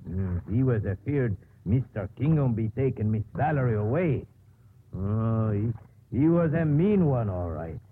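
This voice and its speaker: Male actor as simple devoted country man, halting, swooping pitch, noisy vintage radio recording. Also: lively intonation, narrow, tinny audio, lightly acted. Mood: halting, aggrieved. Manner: halting, speaks of himself in third person. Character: simple, devoted rustic English handyman. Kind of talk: 1950s radio drama acting.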